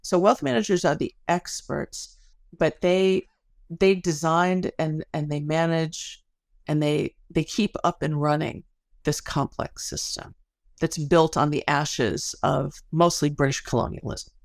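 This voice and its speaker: A woman's voice: low-pitched, unhurried, vocal fry, no room sound, studio quality. A middle-aged woman speaking as an academic guest in a podcast interview, explaining things articulately through stories and analogies.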